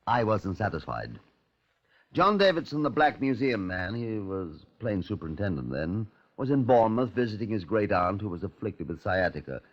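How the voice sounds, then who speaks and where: An actor playing a courteous, wry Scotland Yard inspector, narrating his case in a measured radio crime drama style. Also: lowish voice, very animated. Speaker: detective narrator. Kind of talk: 1950s radio crime drama, first-person narration and dialogue. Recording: dull, muffled 1950s radio recording.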